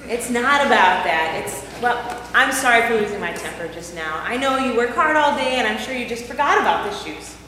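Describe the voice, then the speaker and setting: A woman's voice: fairly high pitch, expressive, brisk, few pauses, sharp tone, slight background noise. A woman playing an overworked housewife in a church skit, talking emotionally and swinging between scolding and pleading. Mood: apologetic. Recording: echoey hall from far off.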